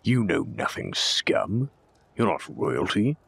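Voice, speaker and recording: An actor playing a haughty rival duke in an audio roleplay, gravelly and husky, sneering with aristocratic contempt. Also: male voice, low-pitched, huge pitch swings, slow pace, a bit bright, no echo, full character voice. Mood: scornful. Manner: sneering, disdainful. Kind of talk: dramatic villain roleplay audio.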